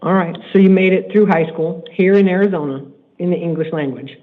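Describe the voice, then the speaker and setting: A man acting as judge in a court hearing. He speaks in a stern, measured way and repeats himself when he is pressing a point. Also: high voice. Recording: tinny court livestream audio.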